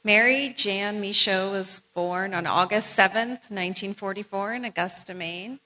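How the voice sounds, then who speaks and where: A woman presenting at an awards ceremony, formally reading out short biographies in a measured, ceremonial way. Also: mid-range voice, sharp tone, low-quality recording. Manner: measured, ceremonial delivery with brief asides to honorees. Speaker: event presenter.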